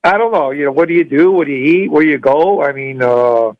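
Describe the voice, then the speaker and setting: A man phones in to a talk show over a dull, lo-fi line. His voice is a little high and the sound is dry. He rambles casually, hesitating and doubling back. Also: brisk, no echo. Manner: rambling, hesitant, repeating himself. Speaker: phone-in caller. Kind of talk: casual chat. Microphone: phone call. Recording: dull lo-fi radio call.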